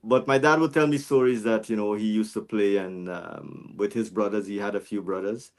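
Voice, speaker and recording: A man's voice: on the low side, moderate pace. A man in his forties from Guyana, talking reflectively as a podcast guest with frequent restarts. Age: 40s. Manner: reflective, many restarts and fillers. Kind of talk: casual interview chat.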